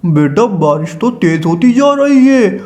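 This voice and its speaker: A caring cartoon papa bird, voiced by a man, with a notably high yet mellow voice. He is gentle and fatherly, speaking tenderly as a parent to a child. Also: very animated, measured pace. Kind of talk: Hindi cartoon voice acting.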